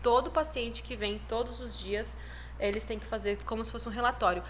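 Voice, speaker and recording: A woman's voice: on the high side, fairly even intonation, fairly quick, a little hiss or hum. A woman, a chiropractic dean chatting as the guest on a podcast, upbeat and full of fillers. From Brazil. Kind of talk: casual podcast interview.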